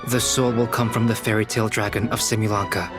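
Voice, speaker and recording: Game role: man as composed young male alchemist, low register, precise and formal, music clearly underneath. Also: level tone, close to an everyday voice. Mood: resolute. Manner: measured, precise, formal, matter-of-fact. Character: calm, analytical young male alchemist with quiet resolve. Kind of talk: scripted English game voice acting. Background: background music.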